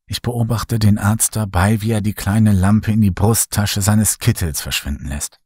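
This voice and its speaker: Husky low-voiced male horror narrator. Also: moderate pace, darkish tone, no echo, studio quality. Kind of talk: scripted audiobook narration.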